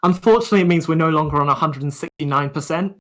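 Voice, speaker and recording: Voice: medium pitch, very fast, a bit bright. A livestream co-host chatting casually in a chirpy, enthusiastic way. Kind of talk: casual chat.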